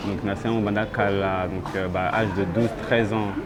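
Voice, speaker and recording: A young man from France, a rapper being interviewed outdoors, talking in a halting way and switching between French and Lingala. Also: lowish voice, steady tone. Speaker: rapper guest. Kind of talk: street interview. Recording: outdoor street noise.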